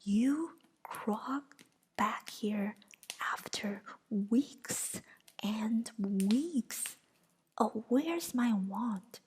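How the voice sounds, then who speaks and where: Woman doing a young witch, shrill, furious, whispered, high, slow. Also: darkish tone, breathy edge, full character voice.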